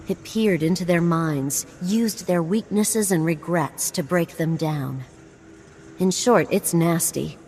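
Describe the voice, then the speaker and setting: A woman voicing a scholarly, wry explorer in a video game, speaking in a measured, articulate way. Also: fairly low pitch, a little mellow, slight background noise. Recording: game music underneath.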